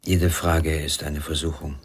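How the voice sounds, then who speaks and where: A weary father with a low, husky voice. He speaks in short, reflective, halting phrases, troubled and searching, in the style of a radio crime play. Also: little pitch movement, full character voice. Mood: regretful, reflective. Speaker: radio drama actor. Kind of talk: radio crime drama acting.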